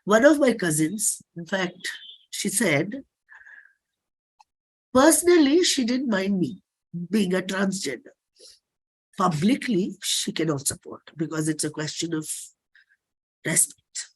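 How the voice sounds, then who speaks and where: A middle-aged performer with an Indian accent, giving a dramatic autobiographical reading in measured, deliberate phrases. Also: mid-range voice, animated, husky, low-quality recording. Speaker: singer and performer.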